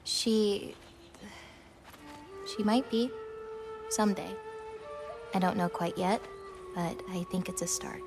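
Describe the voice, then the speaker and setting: Casting: gentle, hopeful teen heroine, medium female pitch, sincere game drama over clear music. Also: slow, pauses often. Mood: hesitant. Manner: hesitant, sincere, youthful. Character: earnest, gentle, hopeful teenage giantess girl. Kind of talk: dramatic video game cutscene acting.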